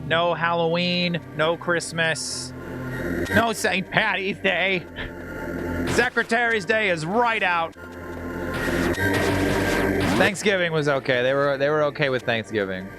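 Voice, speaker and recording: Male streamer, middle-aged, slightly high voice with lively swings, casual rambling gameplay chat over loud game music. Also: slow, long pauses. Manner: playful, rambling, chatty. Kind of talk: casual live gaming commentary and chat. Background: video game music.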